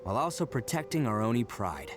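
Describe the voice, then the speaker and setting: A man's voice: mid-range voice, big pitch swings, fast, music in the background, lightly acted. A man playing a brash, proud Oni brawler. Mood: storytelling. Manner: boastful, hearty laughs, earnest when serious. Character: brash young male Oni gang leader, proud and boisterous. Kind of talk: game cutscene voice acting.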